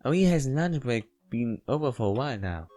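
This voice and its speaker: A man with a non-native English accent reads a visual novel aloud as a let's play host, haltingly, with casual asides. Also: mid-range voice, expressive, dark tone, faint music behind. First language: not English. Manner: halting, stumbling reading.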